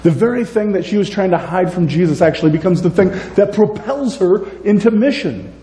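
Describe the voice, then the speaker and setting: A man in his forties preaching a sermon in a church, animated and gesturing, moving between loud and soft. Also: high-pitched, a little fast. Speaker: pastor. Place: church sanctuary, indoors. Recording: echoey church room, faint hum.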